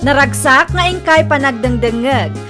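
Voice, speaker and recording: A woman's voice: sits high, moderate pace. A woman with a Filipino Ilocano accent reading a scripted promotional introduction as a radio drama announcer. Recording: music bed behind announcer.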